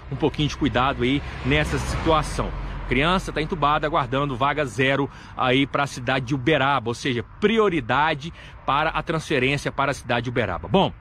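A man from gives a live local news update beside a highway at night, talking earnestly into a phone camera held at arm's length and leaning on tag words like "né" and "tá". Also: a bit bright. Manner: earnest, repetitive, tag questions "né" and "tá". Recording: faint traffic noise outdoors.

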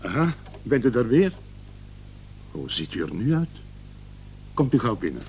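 An actor playing an attentive, bustling night attendant at a hospital in a radio drama. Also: male voice, mid-range voice, big pitch swings, unhurried, darkish tone. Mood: attentive, brisk. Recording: noisy old radio play recording.

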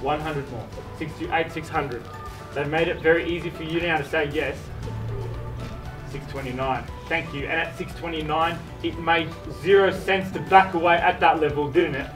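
An Australian man in his thirties runs a live property auction, pushing bids along with punchy repetition. His voice sits high for a man. It sounds distant and echoey, with music playing underneath. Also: steady tone. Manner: fast persuasive bid calling, punchy repetition. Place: outdoor driveway of a house. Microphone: far camera.

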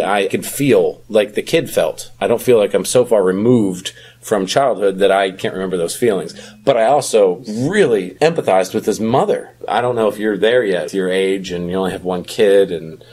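A middle-aged man chatting casually about films as a podcast co-host, talkative and quick to laugh. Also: on the low side, a little mellow, slightly rough.

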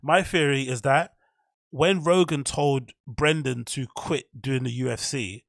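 A man talks through his theory in a loose, meandering way, often correcting himself as he goes. His voice has a gravelly edge. The sound is dry and close, with no room around it. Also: medium pitch, unhurried, a bit bright, dry recording. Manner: rambling, self-correcting, opinionated. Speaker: podcast host. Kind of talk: casual commentary monologue.

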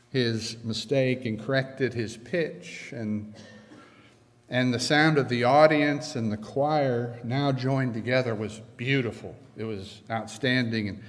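Slow-preaching older man in a church hall. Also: mid-range voice. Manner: earnest, measured preaching with slow emphatic pauses. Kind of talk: sermon. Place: church sanctuary at a pulpit. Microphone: pulpit stand mic. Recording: long reverb of a church hall.

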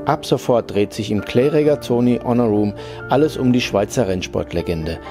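A man reading a scripted report voice-over in an even, measured way. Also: medium pitch. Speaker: narrator. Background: background music.

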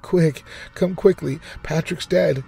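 A man's voice: fairly high pitch, takes it slowly, gravelly, dark tone, soft background music, pitched above the performer's own voice. A man voicing a polite, anxious housewife with an eager, over-sweet manner in a storybook reading. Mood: anxious, distressed. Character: housewife feigning brightness and distress, anxious, polite. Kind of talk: storybook character voice.

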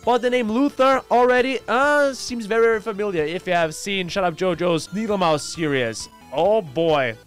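A man's voice: sits high, moderate pace, few pauses, smooth, soft background music. A young man giving casual, joking and slangy reaction commentary into a close desk microphone. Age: young adult. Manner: slangy, mocking. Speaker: reaction video host. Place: indoor home streaming setup. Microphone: close desk microphone on arm.

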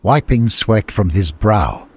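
A man's voice: on the low side, sing-song, slow, husky. A man reading a scripted adventure story as an audiobook narrator.

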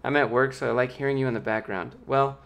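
A man in his late twenties with a light, youthful voice that sometimes squeaks, casually chatting and answering viewer questions on a live stream from a small home office into a close studio microphone. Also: quick pace, vocal fry, smooth. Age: late 20s. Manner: relaxed, rambling, reads viewer questions aloud. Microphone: large studio mic with pop filter, close.

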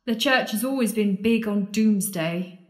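A woman's voice: mid-range voice, measured pace, a little mellow, lightly acted. A woman playing a sardonic, frightened penitent at the world's end in an audio drama, babbling and joking wryly out of nerves. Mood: angry, sarcastic. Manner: babbling, wry, joking nervously. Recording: echoey room.

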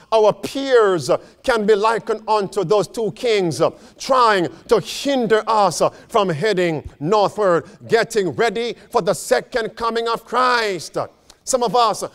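A man preaching a sermon as a pastor, repeating phrases for emphasis and putting questions to his listeners. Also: high-pitched. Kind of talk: sermon with scripture and quotations read aloud.

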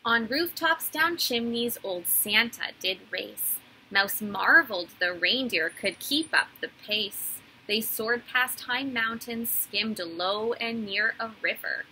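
A woman in her thirties reading a children's story aloud like a teacher, in a deliberate, animated storytelling way. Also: a little high, slight background noise, rough recording. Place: small indoor room.

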